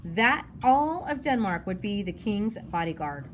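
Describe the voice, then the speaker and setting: A woman's voice: medium pitch, sing-song, unhurried, a bit bright. A woman reading a children's novel in the part of a serious, steadfast father. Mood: grave, resolute. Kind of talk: children's novel read-aloud. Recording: noticeable background noise.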